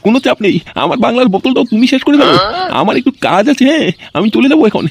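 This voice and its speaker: A man voicing a crude, scheming, boozy village fellow in an amateur comedy cartoon, excitable and swearing freely. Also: extremely high pitch, racing, a crowd in the background, exaggerated voice. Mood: jolly, chummy.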